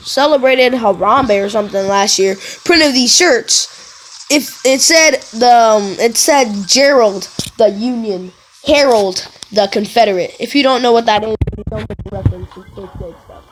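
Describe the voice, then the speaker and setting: A woman with a high-pitched voice tells casual stories as a video creator. Her delivery is animated and digressive, doubling back to correct herself. There is some background noise with small clicks behind her. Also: normal speed. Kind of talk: casual rambling storytelling to viewers.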